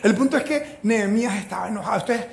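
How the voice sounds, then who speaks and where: A man from Venezuela preaching a sermon as pastor, animated and joking as he retells the story. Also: high voice, fast, creaky, echoey room.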